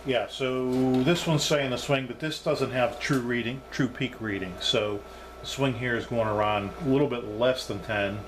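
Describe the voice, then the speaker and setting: A man's voice: medium pitch, normal speed, background noise. A man giving a casual, unscripted hands-on demonstration as a hobbyist reviewer, thinking aloud as he goes.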